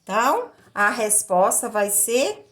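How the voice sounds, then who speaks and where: A woman's voice: a little high, very animated, takes it slowly, a bit bright. A woman with a Brazilian accent explaining a lesson step by step as a maths teacher, in a didactic way with repeated phrases. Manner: didactic, repeats phrases and checks in with short tag questions.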